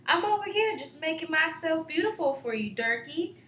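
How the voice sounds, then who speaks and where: High, fluttery belle. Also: female voice, animated, moderate pace, fairly bright tone. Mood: coy, flirtatious. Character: coy, fluttery Southern belle of faded elegance. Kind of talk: satirical radio play acting. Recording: echoey room with faint noise.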